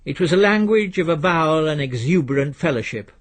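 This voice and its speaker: A man reading literary fiction as a narrator, in a measured, precise and dry storytelling manner. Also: on the high side, animated. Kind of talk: scripted literary audiobook narration.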